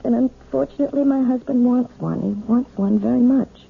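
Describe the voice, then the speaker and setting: An actress playing a timid, proper wife who gives piano lessons, speaking gently and nervously in a 1970s radio drama. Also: female voice, a little high, brisk, creaky, a little hiss or hum. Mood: nervous, prim. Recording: tinny, narrow old radio broadcast.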